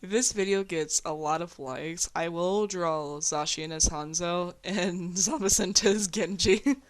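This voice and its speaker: A woman's voice: lowish voice, a little slow. A woman chatting casually in a group, informal and given to mild swearing. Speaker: host of a group voice chat.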